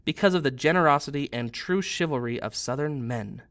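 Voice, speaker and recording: A man's voice: a little high, brisk, a bit bright. A prim Southern spinster lady from a storybook, acted out by a man reading aloud. She is theatrical and emotional, and very easily wounded. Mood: blithe.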